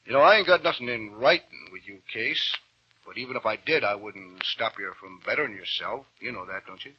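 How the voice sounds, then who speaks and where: An actor playing a folksy, wily small-town baseball manager in an old radio drama, husky and colloquial, with American speech. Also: male voice, on the low side, expressive, brisk. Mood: gently generous. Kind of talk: old-time American radio acting, first-person narration. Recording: dull vintage radio broadcast.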